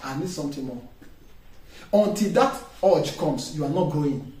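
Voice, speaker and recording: A man's voice: fairly high pitch, animated, moderate pace, dark tone. A man, a pastor preaching a sermon with emphatic call-and-response questions to his congregation. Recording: echoey room, distant mic, faint noise.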